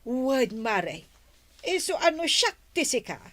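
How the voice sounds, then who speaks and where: Village gossip for radio drama woman acting: prying, insinuating busybody, high-pitched and very animated. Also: measured pace, no echo, exaggerated voice. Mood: gossipy, prying.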